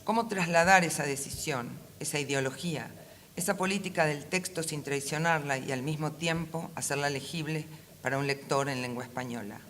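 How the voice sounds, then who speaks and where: A woman with a Rioplatense Argentine accent reads a prepared talk aloud in a measured, formal, literary manner as a panel speaker. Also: low-pitched, a bit bright. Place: conference room at a book fair. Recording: faint ambient event noise.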